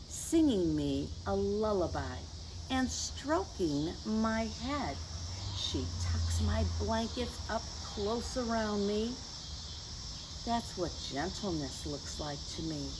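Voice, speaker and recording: Slow, very expressive woman reading to children. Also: medium pitch, noisy background. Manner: gentle teacherly, addressing young children with questions and asides. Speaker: children's story time host and reader. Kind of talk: picture book read-aloud for children.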